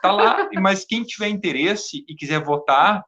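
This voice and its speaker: A man talking casually as an interview guest, halting and repeating words as he goes. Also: high-pitched, expressive, bright, forceful tone, lo-fi sound. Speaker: interview guest, theatre artist and video editor.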